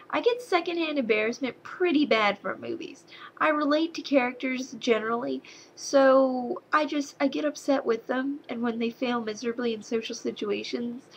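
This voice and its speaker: A woman's voice: a little high, slight background noise, low-quality recording. Sarcastic young woman with slight Southern accent. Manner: sarcastic, exasperated, animated rants. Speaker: movie reviewer. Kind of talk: casual movie review to camera.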